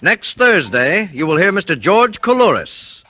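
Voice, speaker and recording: A man's voice: high voice, big pitch swings, unhurried, pushed and bright. A man reading scripted program announcements in a formal, dramatic 1940s radio style. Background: clear music.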